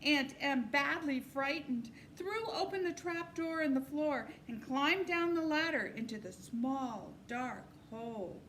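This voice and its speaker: A woman reads a children's novel aloud as an expressive storyteller. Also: sits high, a little slow, hardly any pauses, slight background noise. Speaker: storybook reader.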